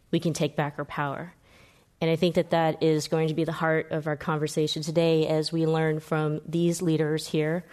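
A woman moderating a panel in a solemn, measured way. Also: low-pitched, a little mellow.

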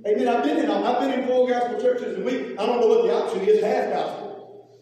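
A man's voice: very high voice, brisk, dark tone, slight background noise. A man with a Southern US accent preaching informally as a pastor, folksy and emphatic, prompting listeners to repeat and respond. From Louisiana, United States. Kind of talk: informal sermon with call and response. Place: large reverberant room, preaching to a congregation. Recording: echoey hall, distant microphone, long reverb.

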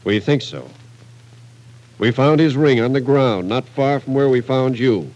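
An American actor playing a patient police captain, questioning with measured persistence in an old radio drama. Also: male voice, medium pitch, darkish tone, highs cut off, lightly acted. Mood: patient, probing. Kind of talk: 1940s radio drama acting.

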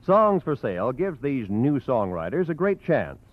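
Lively old-time radio announcer, man. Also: medium pitch, moderate pace. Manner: lively promotional delivery. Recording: muffled old radio broadcast.

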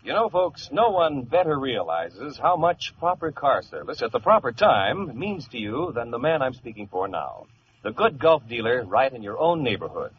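A man announcing and reading a sponsor's pitch in the style of 1940s radio advertising. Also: very animated, normal speed. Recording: muffled old radio, faint hiss.

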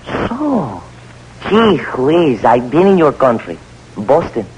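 An actor playing an excitable, wary Cuban scout in an old radio drama, with a Cuban Spanish accent and exclamatory broken English. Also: male voice, mid-range voice, slow, lo-fi sound. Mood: wary, curious. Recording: noisy, humming vintage radio recording.

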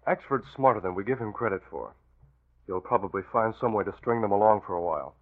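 An actor playing a level-headed, authoritative newspaper boss with concern in a 1940s-style radio drama. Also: male voice, fairly low pitch, quick pace. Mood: grave, decisive. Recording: phone-like old radio recording with music.

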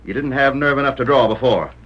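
An actor playing a stubborn, suspicious sheriff with folksy cowboy talk in an old radio Western. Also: male voice, mid-range voice, fast, natural voice. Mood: stern, distrustful. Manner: gruff cowboy drawl, folksy slang. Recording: muffled, hissy vintage radio recording.

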